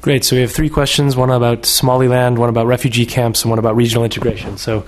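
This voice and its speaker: A man's voice: mid-range voice, level tone. Polite man chairing a lecture Q&A. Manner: polite, brisk, directing the floor. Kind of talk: moderating a question session. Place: lecture hall.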